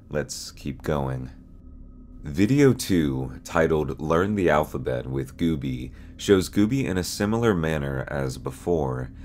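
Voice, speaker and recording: A young man reading scripted narration as host, in a measured, serious, ominous storyteller manner. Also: a bass voice, slight background noise. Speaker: host and narrator of a horror explainer channel.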